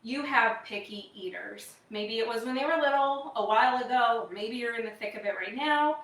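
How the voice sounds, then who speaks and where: Female live demo host, Wisconsin accent, chatty and upbeat, slightly high voice, recorded at a distance in an echoing room. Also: normal speed, a bit bright. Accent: American Midwestern, Wisconsin. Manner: upbeat, chatty, addressing viewers. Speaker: live demo host, direct-sales kitchenware consultant. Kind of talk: casual chatty livestream product demo. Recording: roomy echo, distant microphone.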